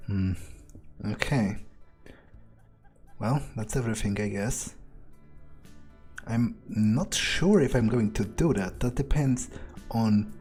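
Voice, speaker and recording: A man plays a game in character, musing aloud with sardonic hesitation. He speaks very slowly in a dark, mellow voice, with faint music in the background. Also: lowish voice, breathy edge. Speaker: game player roleplaying a character.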